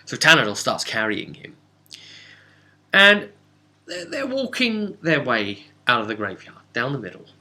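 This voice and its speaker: A man recapping a tabletop game as game master, telling it casually with dramatic, halting pauses. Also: mid-range voice, wildly swooping pitch, bright, forceful tone.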